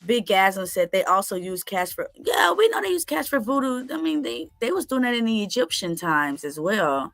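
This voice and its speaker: A woman's voice: medium pitch, sing-song, normal speed, creaky, few pauses, a bit bright, no room sound, soft background music. A woman giving her opinions in casual commentary, rambling from point to point.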